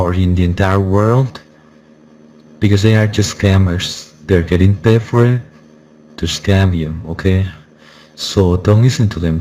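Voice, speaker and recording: A man's voice: deep voice, warm tone. A man giving a casual, insistent spoken review, his voice run through a voice changer. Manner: insistent, repetitive, warning listeners. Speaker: software reviewer. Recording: background noise.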